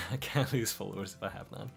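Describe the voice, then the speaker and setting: Low gravelly man, mixed Australian-American accent. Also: a little fast. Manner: relaxed, laughs often, trails off softly. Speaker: streamer. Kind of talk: casual late-night chat reading comments. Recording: faint background music.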